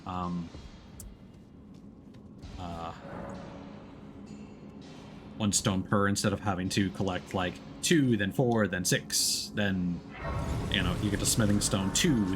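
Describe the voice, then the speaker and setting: A man giving casual live commentary as he plays a video game, thinking aloud in a halting way. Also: sits low. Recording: game music behind the voice. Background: game music.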